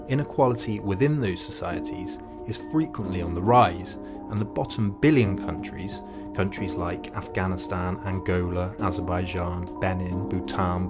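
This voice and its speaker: Low-pitched man narrating over loud music. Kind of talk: scripted narration. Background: loud instrumental music.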